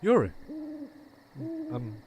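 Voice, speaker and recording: A man's voice: mid-range voice, very animated, extremely slow, darkish tone, slightly rough, full character voice. An actor playing a courteous, slightly flustered townsman in a comedic fantasy audio drama. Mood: welcoming, flustered.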